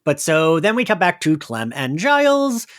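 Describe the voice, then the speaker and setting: A podcast co-host with an animated, giggly way of talking, casually recapping a story and acting out bits of dialogue. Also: medium pitch, normal speed. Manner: giggly, animated, quotes characters. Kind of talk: casual episode recap chat.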